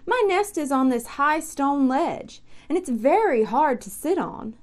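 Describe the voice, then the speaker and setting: A polite, wistful crow from a children's storybook, voiced by a woman. The high voice moves expressively, stressing what it longs for. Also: lively intonation, measured pace. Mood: longing. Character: polite crow longing for comfort.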